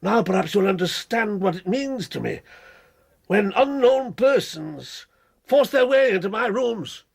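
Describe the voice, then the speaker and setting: An actor playing a frightened, blustering middle-aged English gentleman in a period radio drama, agitated, evasive and prone to stammering. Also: sits high, big pitch swings, a little slow, vocal fry, slightly rough, heavily acted. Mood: indignant.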